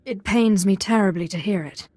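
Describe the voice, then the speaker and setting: A woman's voice: mid-range voice, normal speed, darkish tone, close to an everyday voice. A woman playing a composed, restrained young Sith apprentice in a video game. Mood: cool, composed. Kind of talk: dramatic video game acting.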